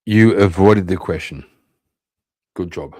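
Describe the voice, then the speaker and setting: A man's voice: low voice, takes it slowly, a little mellow. A man hosting a casual livestream, swearing often and talking in a blunt, combative way. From Northern Ireland. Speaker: livestream host.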